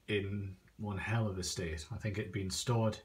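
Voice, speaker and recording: A man's voice: on the low side, fairly even intonation, unhurried. A man giving a casual show-and-tell in an informal, understated way, recorded on a handheld device in a small room at home. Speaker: antique phonograph collector. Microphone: handheld phone or camera, speaker off screen. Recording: noisy handheld recording with clicks.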